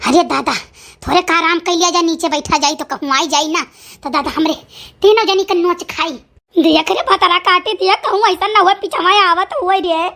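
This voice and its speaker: A performer voicing a fretful, panicky cartoon village woman with a squeaky high voice and an Awadhi accent, wailing and pleading in comic exclamations. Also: fairly even intonation, normal speed, gravelly, sharp tone, heavily acted. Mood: breathless complaining. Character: panicky squeaky village women fleeing a tiger, fretful and squabbling. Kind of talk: animated comedy dialogue in cartoon skit.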